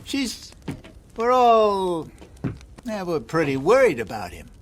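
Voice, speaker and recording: High-pitched, worried camp elder. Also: male voice, wildly swooping pitch, slow, a little hiss or hum, full character voice. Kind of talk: cinematic western game acting.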